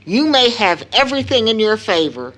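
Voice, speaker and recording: An actress playing a caring, proper middle-aged mother in an audio drama of an early 1900s play, with an earnest, measured manner. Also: on the high side, big pitch swings, a bit bright, low-quality recording. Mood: earnest, concerned.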